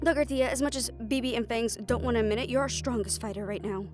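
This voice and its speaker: Woman acting a high-pitched, frustrated siren sorceress. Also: fast, music in the background, natural voice. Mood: stressed, worried. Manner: emotional venting, sarcastic and sweary, with heavy sighs. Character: frustrated, overworked siren-banshee sorceress, sharp-tongued but caring. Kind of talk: dramatic audio roleplay addressed to the listener.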